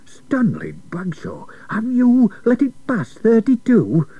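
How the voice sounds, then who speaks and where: A man's voice: very high voice, huge pitch swings, slow pace, vocal fry, mellow, slightly rough, dry recording, muffled recording, pitched above the performer's own voice, full character voice. A man voicing a matey, chatty older works engineer who gives folksy instructions in a rhyming storybook. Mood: scolding. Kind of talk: children's rhyming storybook reading.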